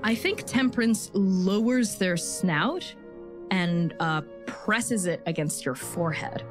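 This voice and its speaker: A woman's voice: medium pitch, takes it slowly. A woman narrating an improvised tabletop game as game master, describing the scene in a conversational way. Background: music.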